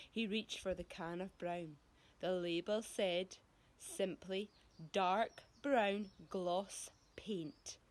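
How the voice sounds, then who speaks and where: A woman reading a story to schoolchildren expressively, giving descriptive words dramatic, deliberate emphasis. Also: a little high.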